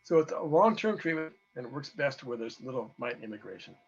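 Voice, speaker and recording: Hoarse man presenting research. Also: medium pitch, animated, a little fast. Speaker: presenter, researcher giving a talk to beekeepers. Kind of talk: informal technical presentation with data. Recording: dull, lo-fi audio.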